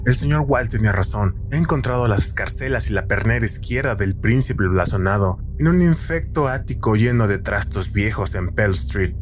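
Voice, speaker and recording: A man's voice: on the low side, lively intonation, normal speed, few pauses, voice pushed down, close to an everyday voice. A man voicing an earnest, polite tradesman who speaks courteously and insistently, in an audio story with music behind. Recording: light music behind the reading.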